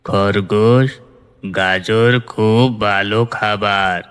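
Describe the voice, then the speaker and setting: A man voicing a self-important dog who lectures gravely in a measured way, as in a cartoon moral story. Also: on the low side, steady tone, a bit bright. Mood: preachy. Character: self-important dog who thinks himself a wise teacher.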